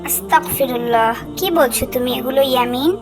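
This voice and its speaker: A woman voicing a gentle, devout cartoon character who admonishes in an earnest, preachy way. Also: high-pitched, a little fast, loud music behind the voice, natural voice. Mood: disapproving. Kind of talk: scripted cartoon dialogue.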